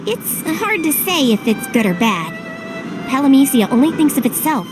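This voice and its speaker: Playful mysterious game guide girl, really swoopy and high, music behind. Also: very animated, moderate pace. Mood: cryptic, apologetic. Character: teasing, enigmatic female guide companion, fond and evasive. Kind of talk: English video game voice acting.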